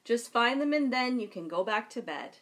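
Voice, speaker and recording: A woman reading aloud as a kindly, smiling male patrolman in a children's storybook, with a gentle, reassuring manner. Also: a little high, lively intonation, normal speed.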